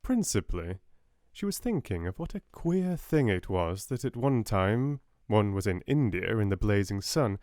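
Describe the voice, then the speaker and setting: A soft-spoken man with a British accent, narrating an audiobook in a measured, restful bedtime style. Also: medium pitch, no room sound, studio quality.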